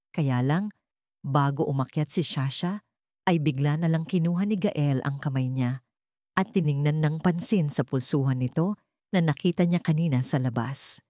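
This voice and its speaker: A woman's voice: low voice, a little mellow, dry recording, studio quality. A woman reading scripted dramatic fiction as a storyteller. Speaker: story narrator.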